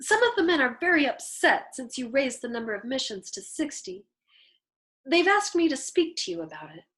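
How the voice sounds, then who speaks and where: A woman's voice: high voice, big pitch swings, normal speed, a bit bright, voice pushed up. A woman voicing a meek, deferential male army chaplain in a storybook, polite and tentative. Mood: timid, deferential.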